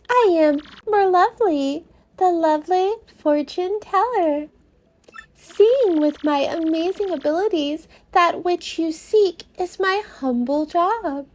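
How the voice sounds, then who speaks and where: A woman puts on a grand mystical fortune teller from a fantasy game. Her voice floats very high, shifted up, with theatrical formal phrasing delivered slowly. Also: lively intonation, heavily acted. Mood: grand, mysterious.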